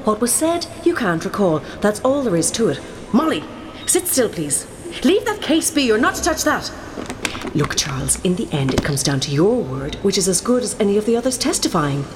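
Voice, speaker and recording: A woman's voice: mid-range voice, very animated, normal speed, natural voice. An actress playing a haughty, scheming stepmother, clipped and controlling, in an audio drama. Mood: bossy, irritated. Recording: clear background ambience noise.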